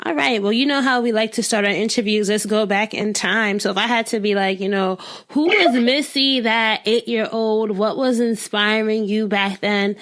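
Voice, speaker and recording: A woman's voice: mid-range voice, moderate pace, few pauses, fairly bright tone. Upbeat woman podcast host. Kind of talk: podcast interview and promo read.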